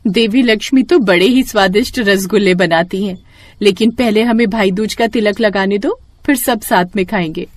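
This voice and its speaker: A tender goddess and devoted sister , voiced by a woman, speaks in a medium pitch. Her words are formal, loving and devotional, as in a children's mythological cartoon. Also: moderate pace, no echo, lightly acted. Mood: affectionate. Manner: formal, affectionate, devotional speech.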